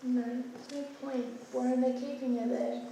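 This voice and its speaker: A woman playing a grieving, guilt-ridden mother who speaks haltingly and pleads, recorded from far off on an echoey stage. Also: high-pitched, level tone, dark tone, slight background noise.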